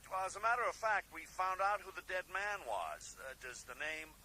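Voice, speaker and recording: An actor playing a brisk male caller in a radio drama, heard through a telephone filter. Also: sits high, very animated, sharp tone, heavily acted. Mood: businesslike. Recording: thin telephone line, faint hiss.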